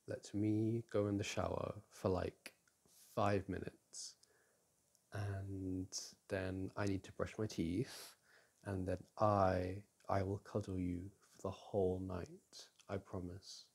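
A young man in an intimate roleplay as a boyfriend, talking softly and teasingly to his partner, often sighing and chuckling. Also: sits low, gravelly, slightly rough. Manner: soft and teasing, with frequent sighs and chuckles. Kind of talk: intimate ASMR roleplay, speaking to an unseen partner. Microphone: close microphone.

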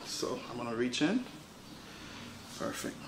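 Male chiropractor, reassuring and casual, talking a patient through treatment, medium pitch, recorded in a noisy room. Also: sing-song, a little slow. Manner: reassuring, coaxing. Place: treatment studio with hard floor, indoors.

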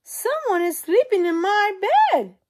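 A woman voicing a small, sad boy bear from a storybook who whines in a high, drawn-out voice. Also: sing-song, a little mellow, full character voice. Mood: upset.

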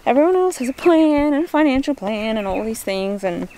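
Young woman with creaky voice. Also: high-pitched, expressive, brisk, warm tone, slight background noise, poor audio. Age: 20s. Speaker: travel vlogger. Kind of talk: casual vlog chat to camera. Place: outdoors on a forest hiking trail and summit, later in a car. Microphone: handheld camera at arm's length.

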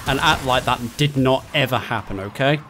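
A man's voice: medium pitch, moderate pace, fairly bright tone, faint music behind. A man chatting casually while playing a co-op video game, teasing in a dry, mock-serious way. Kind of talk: casual gaming chat.

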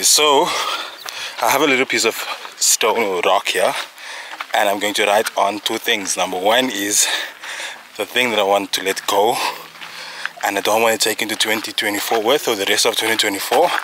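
A man's voice: lowish voice, sharp tone, a little hiss or hum. A man in his thirties from South Africa, vlogging casually to a handheld camera outdoors on a mountain trail, joking at his own expense and a little out of breath. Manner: chatty, jokey, self-teasing asides. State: slightly out of breath. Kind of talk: casual vlog talk to camera. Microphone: handheld camera close to face.

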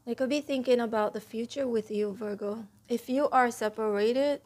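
A woman giving a casual tarot reading at a table, talking straight to her viewers, a little stuffy and clearing her throat, often checking in with "okay?". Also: a little high, moderate pace, a little mellow. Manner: chatty, repeats "okay?" to viewers, stresses key words. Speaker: tarot reader. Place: indoors at a table, home room.

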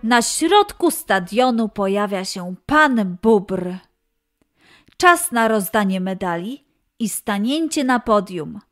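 A woman storyteller reading scripted narration for a children's tale. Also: medium pitch. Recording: music behind the voice.

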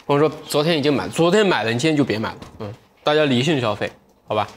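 A man's voice: medium pitch, expressive, quick pace, slight background noise. A man in his thirties hosting a livestream sale from a studio set. He chats with viewers in a playful, self-mocking way and sometimes drifts into reflective asides. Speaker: livestream sales host.

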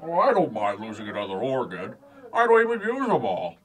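A man playing a giant, slow-witted, man-eating brute with a dopey manner in a comedic audio drama. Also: medium pitch, wildly swooping pitch, fairly bright tone. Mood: dopey, amused.

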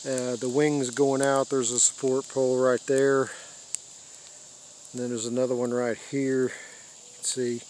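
Flat-toned older American man outdoors. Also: mid-range voice, measured pace, long pauses. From United States. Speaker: radio hobbyist giving a tour. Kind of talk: casual unscripted show-and-tell. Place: open rural backyard, outdoors. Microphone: handheld phone or camera mic. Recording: outdoor handheld recording with background noise.

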